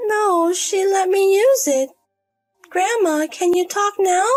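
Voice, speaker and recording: A woman's voice: extremely high pitch, takes it slowly, soft background music, voice pushed up. A woman voicing a polite little granddaughter in a story drama, sweet and childlike. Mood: timid, sweet. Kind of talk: acted dialogue in a narrated drama story.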